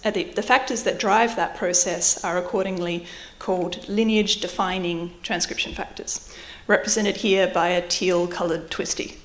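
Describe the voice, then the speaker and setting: Australian woman giving a science lecture. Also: mid-range voice. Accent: Australian with slight British influence. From Adelaide, Australia. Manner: explanatory, with light self-deprecating asides. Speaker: university researcher giving a public lecture. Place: lecture hall. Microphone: microphone at a lectern or on her.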